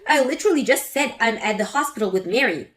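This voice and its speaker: A woman's voice: a little high, animated, quick pace, faint music behind, voice pushed down. A woman voicing an angry grown daughter who confronts her mother sharply. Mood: indignant. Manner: sharp, indignant.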